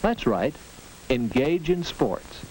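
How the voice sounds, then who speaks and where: A man's voice: medium pitch, takes it slowly, poor audio. A man narrating a 1940s educational film, earnest and instructive, on an old soundtrack with faint hiss and clicks. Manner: earnest, instructive, lively delivery.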